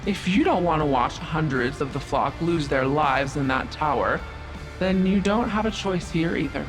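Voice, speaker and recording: A woman playing a proud, cunning otherworldly female stranger in an audio drama, speaking in a measured, formal, dryly sarcastic manner. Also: low voice, close to an everyday voice. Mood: haughty, vengeful. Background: prominent music.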